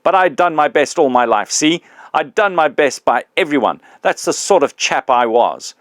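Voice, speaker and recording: A man voicing a loud, aggrieved big ghost. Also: mid-range voice, big pitch swings, a little slow, no echo, studio quality, voice pushed up. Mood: indignant. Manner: loud, indignant, coarse working-class speech. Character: big blustering male ghost, aggrieved, self-righteous working man. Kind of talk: audiobook reading.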